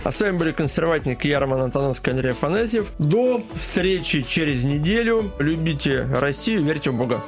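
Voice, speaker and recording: A man hosting a radio talk show, pausing often with fillers as he wraps up. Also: a little high, animated, soft background music. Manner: hesitant, with pauses and fillers.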